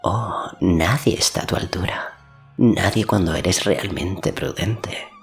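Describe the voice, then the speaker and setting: A sly storybook sorceress, acted by a man, speaks in a low, gravelly murmur that slips into breathy near-whispers. She is slow and deferential, insinuating as she goes, with faint music underneath. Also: heavily acted. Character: sly, servile female sorceress whispering poisonous counsel.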